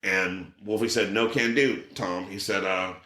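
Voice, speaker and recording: A middle-aged man telling a story in casual chat, acting out quotes with a raised voice. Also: unhurried. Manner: animated, acts out quotes with raised pitch. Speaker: podcast guest. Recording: roomy echo.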